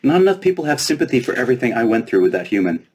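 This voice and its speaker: A man's voice: medium pitch, sing-song, racing, darkish tone, slightly rough, voice pushed up. A man voicing a smug, self-important young fairy princess with a flippant manner in a storybook reading. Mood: self-satisfied.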